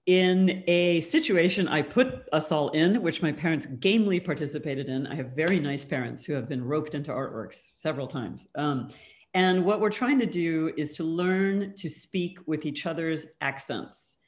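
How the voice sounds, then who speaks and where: A woman's voice: deep voice, lively intonation, moderate pace. A woman from California with a West Coast American accent, explaining her artworks in a conversation and often laughing between phrases. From California, USA. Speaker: artist guest. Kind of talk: conversational art talk.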